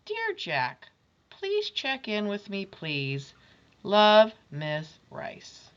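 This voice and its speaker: A woman's voice: medium pitch, wildly swooping pitch, extremely slow, fairly bright tone, dull audio, full character voice. A woman reading a kind schoolteacher's short replies in a book read-aloud. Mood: gentle. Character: kind female schoolteacher writing notes.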